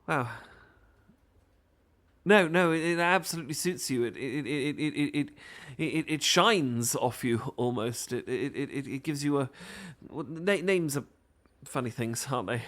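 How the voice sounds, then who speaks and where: A man's voice: medium pitch, measured pace, fairly bright tone, close to an everyday voice. A man playing a stammering, adorkable British bookworm. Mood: flustered, smitten. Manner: stammering, hesitant, flustered rambling. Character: awkward, adorkable, bookish British man, nervous and apologetic. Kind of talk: romantic audio roleplay, ASMR.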